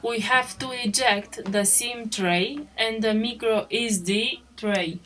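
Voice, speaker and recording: A woman's voice: fairly high pitch, takes it slowly, fairly bright tone. A woman reading a scripted instructional narration as a tutorial narrator, in short step-by-step statements. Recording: clearly noisy track with clicks.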